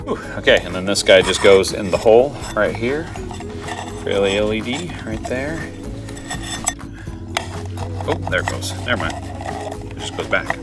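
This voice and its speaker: Male DIY host, low-pitched, informal and unscripted, talking himself through hands-on work, music behind. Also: expressive, normal speed, long pauses. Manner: informal, muttering to himself while working. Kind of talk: casual hands-on how-to narration.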